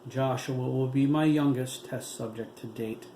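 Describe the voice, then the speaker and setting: A cold, clinical doctor, as a male reader puts him on who explains things in a measured, matter-of-fact tone. He speaks slowly and evenly. The voice rings in a roomy space over a constant hiss. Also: medium pitch, natural voice. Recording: roomy room with steady hiss.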